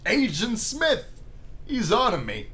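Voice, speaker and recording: Higher-voiced man doing a let's-play. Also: wildly swooping pitch, slow, sharp tone. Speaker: let's-play host reading a visual novel aloud. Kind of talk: reading game text with casual asides.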